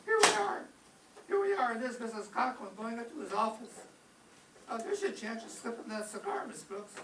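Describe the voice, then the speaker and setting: An eager, cheeky high school boy, played by an actor gossips in excitable old-time radio comedy style. The pitch leaps around a lot. The sound is roomy and distant, with faint noise behind. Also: normal speed. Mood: excited.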